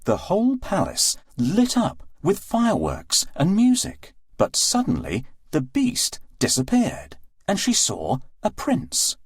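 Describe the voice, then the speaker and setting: A man reads a children's story with extremely lively, swooping intonation. His medium-pitched voice is a little husky. It is recorded dry, with faint music underneath. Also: slow pace, no echo. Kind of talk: scripted children's story narration.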